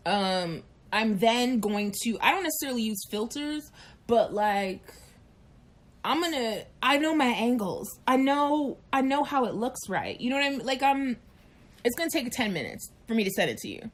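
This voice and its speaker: A woman in her late thirties chatting frankly and animatedly on a live chat show, telling stories and acting out quick voices. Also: on the high side, big pitch swings. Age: 30s. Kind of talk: candid casual chat.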